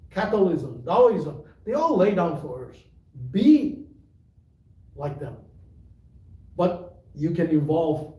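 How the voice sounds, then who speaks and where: A tai chi teacher with a mixed Malaysian and British accent, giving an unhurried, rambling livestream talk full of fillers. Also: male voice, a little high, mellow. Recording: echoey room, voice from far off.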